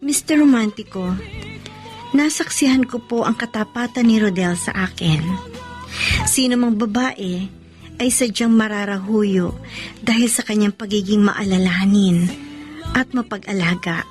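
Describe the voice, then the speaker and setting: A woman's voice: mid-range voice, a little slow, pauses often, darkish tone, close to an everyday voice. An actress playing a tender, grateful young woman confiding her love story in a sentimental, earnest Filipino radio drama style. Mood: earnest, reflective. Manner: sentimental, earnest, code-switching Tagalog and English. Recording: music under the voice.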